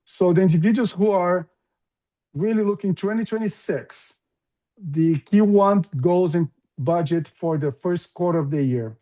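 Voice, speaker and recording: A man's voice: sits high, unhurried, dark tone, rough recording. A middle-aged man from Brazil with a Brazilian accent, speaking as an interview guest in an enthusiastic, conversational way with frequent fillers. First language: Portuguese. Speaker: guest, recruiting business founder.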